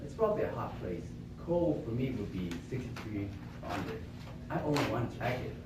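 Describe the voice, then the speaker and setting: A man's voice: mid-range voice, very animated, a little fast, very noisy. A young man, from Vietnam and raised in Florida, giving a prepared club speech in an earnest, explanatory way with rhetorical questions. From born in Vietnam, raised in Florida. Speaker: club speaker. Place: meeting room with small audience. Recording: echoey room from far off.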